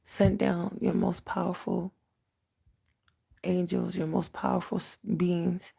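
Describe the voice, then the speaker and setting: A woman with a raspy, cracking voice, working as a spiritual medium and leading an earnest prayer on a livestream. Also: fairly low pitch, little pitch movement, takes it slowly, dark tone. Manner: earnest, prayerful, repeats invocations.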